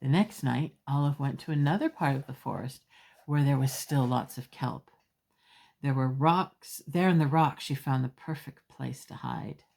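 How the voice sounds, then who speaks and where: A woman's voice: extremely low pitch, moderate pace, creaky, a little mellow. A woman storyteller reading a children's picture book aloud expressively, with emphatic phrasing and small asides to young listeners.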